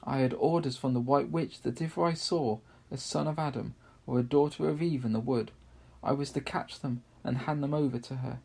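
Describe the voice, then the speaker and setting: A man voicing a gentle storybook fawn who is guilt-ridden and tearful, wailing and blaming himself. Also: mid-range voice, fairly even intonation, normal speed, creaky, few pauses, slightly rough. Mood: guilty, distressed. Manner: tearful, wailing, self-reproaching.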